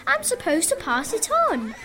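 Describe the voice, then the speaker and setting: A woman's voice: extremely high pitch, wildly swooping pitch, moderate pace, full character voice. A woman playing a cheeky, bullying schoolchild in a radio play. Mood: taunting. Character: taunting young Welsh school child. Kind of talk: amateur radio play acting. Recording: noisy crowd of voices behind.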